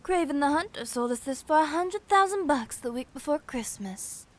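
Woman acting smug showy mob wife, high voice, cutesy, slangy, gossipy. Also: expressive, normal speed. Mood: smug, boastful. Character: vain, gossipy gangster's wife, callous socialite. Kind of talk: comic dub voice acting.

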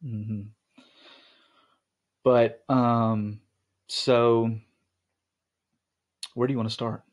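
A man's voice: mid-range voice, little pitch movement, very slow, lo-fi sound. A young man hosting a podcast, chatting casually with his wife, rambling with lots of ums and restarts. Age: young adult. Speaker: podcast host.

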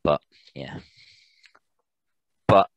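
A British man informally answering questions as a presenter on a video call, in a halting way with repeated words and restarts. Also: no echo. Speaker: air traffic controller, guest presenter.

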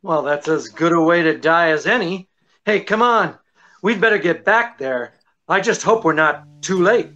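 A middle-aged actor playing a suave, teasing detective with a wry, unhurried delivery in old-time radio comedy style. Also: male voice, a little high, expressive, bright, forceful tone. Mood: brisk. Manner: unhurried, wry, hesitating for effect. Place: indoors, small draped room. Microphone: video call. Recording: muffled video-call audio.

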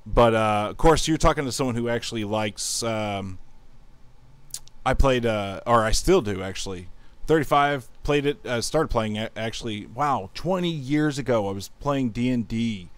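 A man's voice: medium pitch, normal speed, smooth, no room sound. A man in his thirties chatting casually and rambling with self-mocking humor while he streams a game. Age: 30s. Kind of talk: casual gaming livestream chat.

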